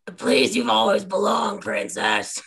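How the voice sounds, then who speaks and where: A woman's voice: medium pitch, very animated, a little slow, gravelly, a bit bright, husky, heavily acted. Woman putting on a strained, smoky-voiced reptile tyrant. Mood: menacing. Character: menacing reptile-man tyrant king with a strained smoker's rasp.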